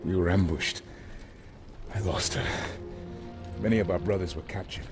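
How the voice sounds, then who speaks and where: An actor playing a loyal, determined soldier in a video game, earnest and sober. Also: male voice, sits low, normal speed, music in the background, close to an everyday voice. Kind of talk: video game drama acting.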